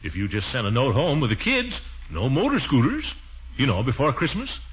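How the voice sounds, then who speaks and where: An actor playing a pompous, easily flustered, flirtatious middle-aged uncle in a 1940s radio comedy, blustering and hesitant. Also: male voice, medium pitch, wildly swooping pitch, normal speed, a bit bright, breathy edge, exaggerated voice. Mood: casually scheming. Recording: muffled, noisy 1940s radio recording.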